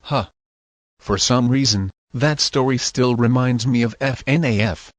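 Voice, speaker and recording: A man's voice: medium pitch, a little slow, dry recording, lightly acted. A man playing a naive, easily distracted news co-host in an audio drama, casual and meek in manner. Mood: musing. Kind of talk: amateur audio drama newscast.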